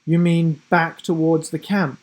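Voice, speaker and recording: A man's voice: a little high, flat delivery, measured pace, voice pushed up, close to an everyday voice. A man voicing an eager, forgetful giraffe who fancies himself a spy in a children's storybook. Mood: urgent, excited. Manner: earnest, rambling, forgetful.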